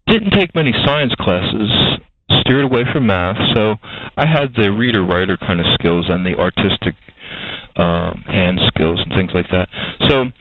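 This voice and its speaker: A man's voice: on the low side, no room sound. Older Delaware man on a phone line. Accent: Delaware/Philadelphia. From Delaware, USA. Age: 60s. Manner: rambling, hesitant, many fillers and restarts. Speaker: guest. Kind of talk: casual interview talk.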